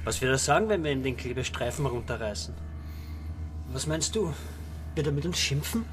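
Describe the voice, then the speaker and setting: A man's voice: medium pitch, lively intonation, fairly quick, music in the background, lightly acted. An actor playing a timid, submissive man around forty, fretful and easily cowed, in a radio drama. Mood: fretful, whiny. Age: 40s. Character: anxious, submissive, blamed younger-middle-aged sibling or partner. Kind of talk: German-language radio drama.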